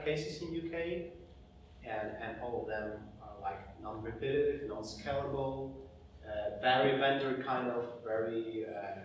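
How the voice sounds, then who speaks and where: Man from poland, panel talk, halting with fillers, very echoey room, distant mic. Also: mid-range voice, slight background noise. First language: Polish. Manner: halting, many restarts and fillers, animated storytelling. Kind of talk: casual panel discussion. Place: event venue with audience.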